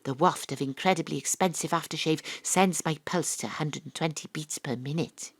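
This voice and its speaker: Welsh female detective, wry, blunt, lowish voice, first-person audio drama narration. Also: moderate pace. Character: wry, brash, independent-minded female investigator narrating her case.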